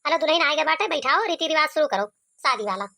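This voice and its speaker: A woman's voice: high-pitched, lively intonation, quick pace, creaky, sharp tone, exaggerated voice. A woman voicing an impatient, chatty member of a wedding party in a cartoon, with an Awadhi accent. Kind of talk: cartoon comedy voice acting.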